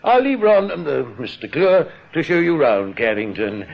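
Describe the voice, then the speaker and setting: An actor playing a genial, folksy branch bank manager in a radio drama, chatty and avuncular. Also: male voice, a little high, big pitch swings, moderate pace, gravelly, a little hiss or hum. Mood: hearty. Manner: chatty, avuncular, hesitant interjections. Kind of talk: British radio drama acting. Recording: dull old radio recording.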